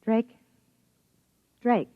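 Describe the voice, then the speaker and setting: A woman playing an anxious, thoughtful biologist and wife, narrating an old radio drama in an introspective, measured way. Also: no room sound. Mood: uneasy, questioning. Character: anxious, thoughtful female biologist narrating her troubled marriage. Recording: muffled vintage radio with music behind.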